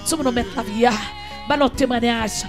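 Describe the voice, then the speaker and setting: A man leading an impassioned prayer, pleading and repeating phrases, half singing and at times shouting. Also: extremely high pitch, steady tone, normal speed, over music. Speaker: prayer leader. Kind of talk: fervent chanted prayer.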